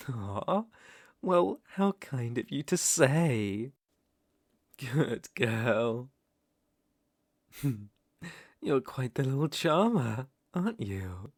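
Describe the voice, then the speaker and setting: A man's voice: medium pitch, no echo, studio quality, heavily acted. A man with a British accent playing a centuries-old aristocratic vampire lord in an ASMR roleplay, suave, predatory and teasing, with formal, theatrical phrasing. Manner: silky, theatrical, teasing and formal with lingering pauses.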